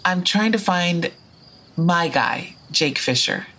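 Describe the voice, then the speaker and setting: Low-voiced, frank woman time traveler. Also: expressive, slow pace, fairly bright tone, heavily acted. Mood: confiding, earnest. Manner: casual, wry, sweary. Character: frank, foul-mouthed woman time traveler searching for her lost partner. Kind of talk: audio drama dialogue and first-person narration.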